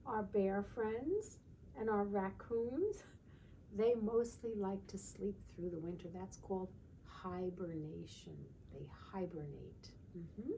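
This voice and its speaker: Woman, children's storytime teacher. Soft-edged mellow tone, sing-song read-aloud with little questions to the listeners, slight background noise. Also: medium pitch, slow pace, rough recording.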